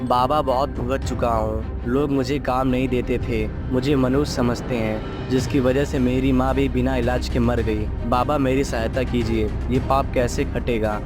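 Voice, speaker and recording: A man's voice: mid-range voice, fairly even intonation, a little slow, music in the background, lightly acted. A man voicing a sorrowful, luckless poor young village son in a story cartoon, plaintive and earnest. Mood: pleading. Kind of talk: acted dialogue in a moral story cartoon.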